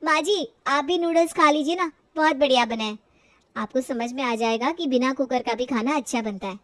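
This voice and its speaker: A dutiful young daughter-in-law voiced by a woman in a family audio story. Her voice is high and dry, with an earnest, polite, coaxing manner. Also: normal speed, a bit bright, no echo.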